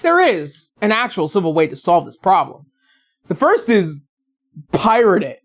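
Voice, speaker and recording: A woman's voice: fairly low pitch, big pitch swings, unhurried. A woman delivering scripted, opinionated commentary as a video essayist, in a sarcastic, blunt and profane manner.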